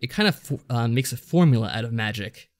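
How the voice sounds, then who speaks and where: A man's voice: medium pitch, lively intonation, fast. Man talking casually, hesitant and rambling. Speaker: podcast co-host. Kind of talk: casual discussion recapping a visual novel.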